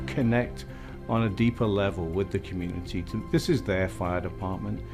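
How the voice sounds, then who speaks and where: Middle-aged fire chief with faint Welsh accent. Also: male voice, sits low, moderate pace, loud music behind the voice. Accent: slight Welsh. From Wales. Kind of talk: interview talk.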